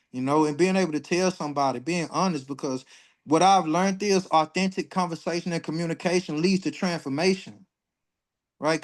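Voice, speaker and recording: Man in his 40s on a live stream, kinda high voice, blunt and cussing, gets fired up. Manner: blunt, profane, worked up when ranting. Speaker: astrology livestream host. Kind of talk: casual livestream talk.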